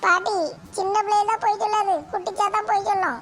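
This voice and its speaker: A man voicing a mischievous schoolboy in a comedy skit. He speaks in a very high, childlike voice. He whines excuses and mutters asides over a faint hum. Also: little pitch movement, fast talker, bright, forceful tone, exaggerated voice. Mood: cheeky. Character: cheeky schoolboy grandson, evasive and mischievous.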